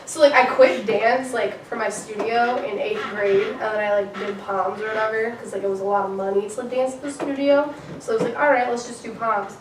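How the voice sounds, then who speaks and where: Young woman, fairly high voice, giggly, lots of "like", echoey room, far mic. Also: steady tone, fairly quick, noisy background. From Illinois, USA. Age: young adult. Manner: giggly, filler-heavy "like" talk. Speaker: club podcast co-host. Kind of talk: casual chat.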